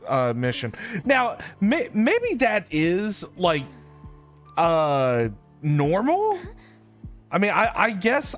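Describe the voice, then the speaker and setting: A man's voice: high-pitched, a bit bright. A man streaming a game with casual, rambling, slangy commentary, often repeating himself.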